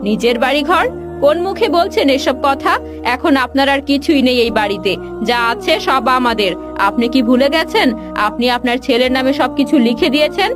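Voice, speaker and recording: A harsh, sharp-tongued young wife from a cartoon, acted by a woman. She speaks in a high voice with a scolding, domineering edge, over faint music. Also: normal speed, lightly acted. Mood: scornful, accusing. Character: harsh, scornful, domineering daughter-in-law.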